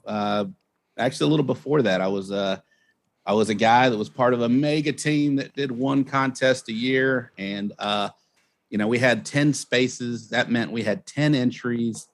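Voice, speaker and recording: A man from Texas with a notably creaky, gravelly voice at an ordinary pitch. He talks as a show guest, telling stories in a loose, folksy, stop-and-start manner. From Texas, United States. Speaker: guest, barbecue team owner and show host. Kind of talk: casual interview storytelling over a live remote call.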